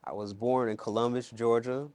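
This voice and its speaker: A man's voice: medium pitch, fairly even intonation, moderate pace, a little mellow, no echo. A man from North Carolina casually telling where he grew up.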